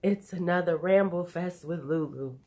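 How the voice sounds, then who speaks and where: A woman's voice: fairly low pitch, normal speed, warm tone. A woman in her forties with a stuffy, nasal voice, losing her voice, chatting loosely and drifting off topic in an unedited beauty vlog from home. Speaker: beauty YouTuber.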